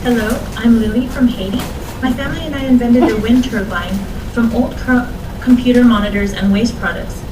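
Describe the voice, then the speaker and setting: A woman playing an earnest young inventor from Haiti, giving a plain, sincere pitch, recorded from afar in a roomy space with noise. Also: fairly quick, lightly acted. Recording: distant, roomy, noisy.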